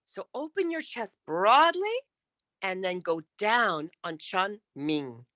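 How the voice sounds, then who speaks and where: Slow, bright-voiced woman coaching speakers. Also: mid-range voice, big pitch swings, dry recording, clean studio sound. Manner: encouraging, demonstrative, emphatic. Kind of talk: interactive vocal coaching lesson.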